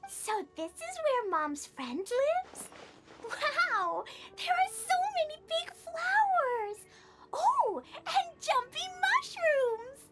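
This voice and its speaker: A tiny, innocent game-character girl, very high and lilting. She is earnest, bubbly and a bit mischievous, taking her words slowly. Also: very animated, fairly bright tone, faint music behind, heavily acted. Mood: excited. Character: sweet, eager little girl knight, innocent and mischievous. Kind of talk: video game character acting.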